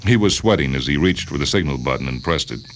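A man's voice: a bass voice, lively intonation, quick pace, a bit bright, a little hiss or hum. A man reading a short story aloud as a scripted, dramatic narration. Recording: music bed under the voice. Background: music.

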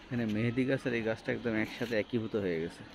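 Male vlogger, Bangladeshi accent, mid pitch, casual questioning commentary, noisy outdoor phone recording. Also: fast talker. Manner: musing aloud, questioning. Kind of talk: casual spoken commentary while filming plants. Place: outdoors on a rooftop garden. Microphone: handheld phone behind the camera. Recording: phone recording with clear outdoor ambient noise.

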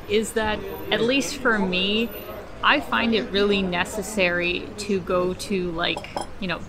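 A young woman, a native Russian speaker, chatting candidly into a handheld camera at an outdoor cafe, with frequent laughs. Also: lowish voice. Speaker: travel vlogger. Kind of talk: casual travel vlog talk. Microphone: camera held at arm's length.

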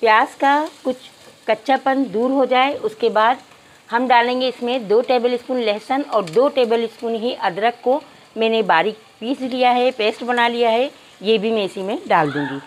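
A woman's voice: a little high, moderate pace, rough recording. A woman narrating a cooking tutorial step by step, addressing viewers politely. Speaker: cooking channel host.

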